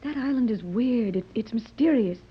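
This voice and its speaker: A muffled 1930s serial recording features a hopeful, earnest mother. Her breathy voice has a medium pitch and a dramatic radio-era delivery. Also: expressive, moderate pace. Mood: hopeful, pleading. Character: earnest, hopeful mother searching for lost daughter.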